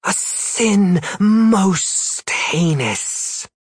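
A man voicing an evil female demon in an audiobook story, theatrical and menacing, with breathy, drawn-out hissing S sounds. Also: high voice, lively intonation, no echo, voice pushed up, heavily acted. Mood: gloating menace. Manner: slow, grandiose, cackling menace.

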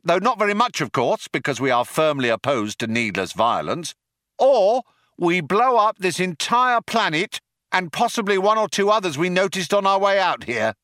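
A man voicing a pompous, self-righteous male alien space cop who shouts through a bullhorn, in an audiobook. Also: high voice, no echo, studio quality, pitched above the performer's own voice, heavily acted. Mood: threatening.

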